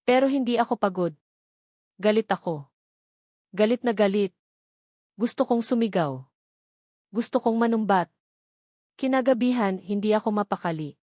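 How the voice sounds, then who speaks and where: A woman with a Filipino accent reading a first-person dramatic love story in an emotive way, with short deliberate phrases. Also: mid-range voice, dark tone, no room sound, clean studio sound. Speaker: story narrator.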